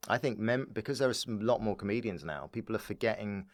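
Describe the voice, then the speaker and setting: A man with a fairly low voice chats as a comedy podcast guest, close and dry on the recording. He is animated and rambling, restarting his thoughts often as he explains. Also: no room sound. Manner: animated, rambling with repeated false starts and rapid agreeing interjections. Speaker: stand-up comedian and club founder, podcast guest. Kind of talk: casual podcast chat.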